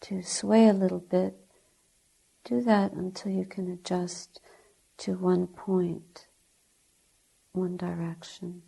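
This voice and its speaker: A woman teaching guided meditation in a soft, breathy, gentle way, speaking in short deliberate phrases with long pauses. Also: on the low side, flat delivery, rough recording. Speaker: Buddhist nun, meditation teacher.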